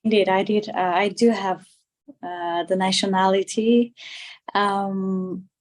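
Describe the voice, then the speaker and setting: A woman, answering conversationally as an interview guest, haltingly, often repeating and rephrasing her words. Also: medium pitch, steady tone. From Armenia. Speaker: interview guest, political candidate.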